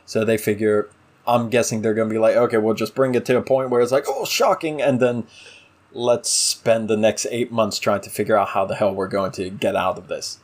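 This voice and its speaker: A man's voice: fairly low pitch, a little fast. Opinionated man in casual discussion. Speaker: podcast co-host.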